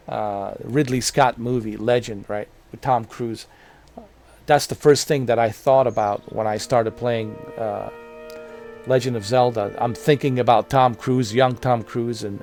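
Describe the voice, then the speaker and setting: A man in his mid-forties talks casually to his channel's viewers, circling back and repeating himself, with plenty of "um" and "you know." His voice sits at an ordinary middle pitch. Soft music plays quietly behind him. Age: 40s. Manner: rambling, repeats phrases, many fillers. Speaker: gaming channel host. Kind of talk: casual talk to camera.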